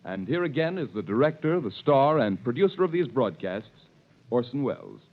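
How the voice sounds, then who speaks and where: A man's voice: mid-range voice, big pitch swings, normal speed. A man announcing a 1930s network radio drama in a formal, stately way. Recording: dull 1930s radio recording.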